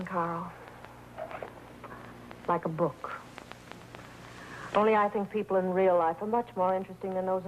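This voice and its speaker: An actress playing a teasing, aloof unfaithful wife in a 1950s crime drama, with a languid, flirtatious manner. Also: female voice, fairly low pitch, lightly acted. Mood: coy, flirtatious. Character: coolly flirtatious, dismissive unfaithful rich wife. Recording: noisy old 1950s TV drama soundtrack.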